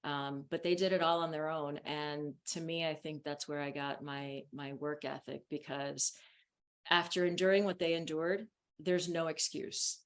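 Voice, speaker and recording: A woman's voice: low voice, little pitch movement, measured pace. A woman whose first language is Spanish, chatting as an interview guest and laughing often. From United States. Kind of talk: casual interview talk.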